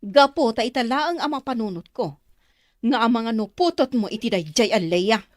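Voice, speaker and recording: A woman's voice: a little high, lively intonation, moderate pace, no room sound, studio quality, close to an everyday voice. An actress playing a harsh, scolding mother in an Ilocano radio drama, suspicious and resentful.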